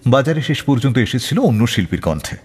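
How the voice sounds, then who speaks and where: A man's voice: mid-range voice, very animated, quick pace, darkish tone, breathy edge. A man hosting a radio show, narrating scripted anecdotes between songs. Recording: long hall-like reverb, faint music behind. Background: faint music.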